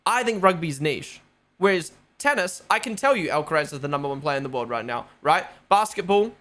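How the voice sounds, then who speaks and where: A man's voice: fairly high pitch, lively intonation, a little fast, a bit bright. A young man with a New Zealand accent, rambling casually as a live stream host, full of fillers and swearing. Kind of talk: casual live watch-along chat.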